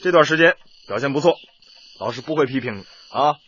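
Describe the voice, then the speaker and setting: A man's voice: mid-range voice, expressive, fairly quick, a bit bright, husky. An actor playing a brusque but fond young male tutor in a radio drama, teasing in colloquial, exclamatory speech. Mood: teasing, amused. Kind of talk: Chinese radio drama acting. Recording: dull lo-fi radio drama with music.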